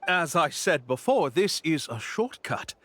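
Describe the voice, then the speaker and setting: An actor playing a brazen, smooth-talking con-artist tour guide in a video game, theatrical, glib and smug. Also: male voice, fairly high pitch, sing-song, unhurried, a bit bright, no room sound, exaggerated voice. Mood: glib salesmanship.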